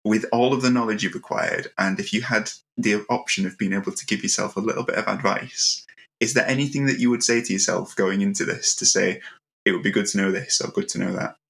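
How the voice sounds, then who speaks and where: A man hosting a conversational interview, speaking politely and choosing his words with some halting. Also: fairly low pitch, gravelly, a bit bright.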